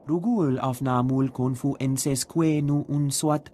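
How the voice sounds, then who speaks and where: An actor playing an emphatic, expressive male alien in a video game, talking in an invented tongue. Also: medium pitch, unhurried, a little hiss or hum, close to an everyday voice. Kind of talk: video game cutscene acting.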